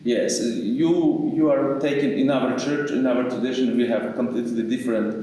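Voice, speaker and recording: A man from Ukraine with a Ukrainian accent, a priest answering interview questions and telling his story in halting English, repeating words as he searches for them. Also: medium pitch, steady tone, warm tone. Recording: echoey room, mic far off, faint noise.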